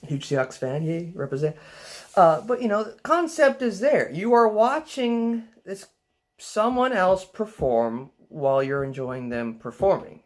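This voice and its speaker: A young man with a soft voice, vlogging in a casual, rambling way, full of fillers and restarts. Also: on the high side. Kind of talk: casual rambling vlog talk.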